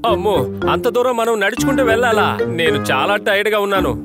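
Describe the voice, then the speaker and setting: A man's voice: high-pitched, lively intonation, quick pace. A man voicing a proud, wealthy scientist in a dubbed cartoon, dismissive toward others. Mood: haughty. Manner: haughty, dismissive. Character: proud wealthy middle-aged male scientist. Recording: music bed under dialogue.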